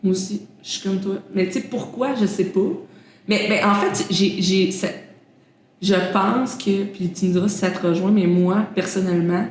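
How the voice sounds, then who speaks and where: A woman's voice: mid-range voice, fairly even intonation, moderate pace, darkish tone. A young woman with a Québécois French accent hosting a casual interview, chatty, laughing often and restarting her sentences. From Quebec, Canada. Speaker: interview host. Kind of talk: casual conversation. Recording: very roomy echo, faint background hum.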